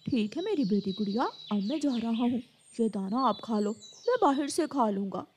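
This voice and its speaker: A woman plays a devoted father bird in a children's cartoon. The voice is high and gentle, that of a selfless protector of the family, over faint noise. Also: expressive, moderate pace, close to an everyday voice. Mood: gentle, caring.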